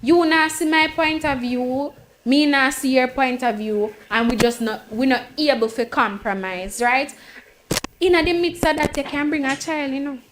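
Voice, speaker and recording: A woman's voice: high-pitched, moderate pace, slight background noise. A woman, talking emphatically in a casual group debate and repeating her points. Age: late 20s. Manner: emphatic, storytelling, repeats herself. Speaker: panel guest.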